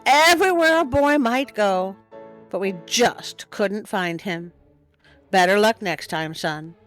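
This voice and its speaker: A woman's voice: mid-range voice, animated, slow pace, a bit bright, soft background music. A woman voicing a patient but firm father wolf in a storybook, reasoning in a fatherly way. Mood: reassuring.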